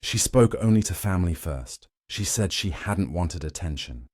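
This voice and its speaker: A man's voice: low voice, expressive, moderate pace, darkish tone, no echo, studio quality. A man narrating a scripted dramatic story in a solemn, suspenseful way, in short weighted phrases.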